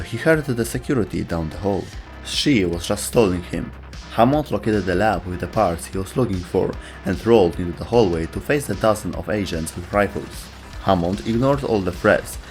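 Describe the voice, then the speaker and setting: Male narrator, fairly deep voice, scripted lore-show delivery, music softly underneath. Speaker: host of a video game lore show.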